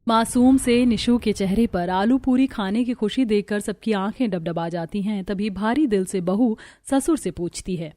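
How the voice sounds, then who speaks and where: A woman's voice: medium pitch, normal speed, hardly any pauses, dark tone, dry recording. A woman reading scripted narration for a Hindi cartoon family story.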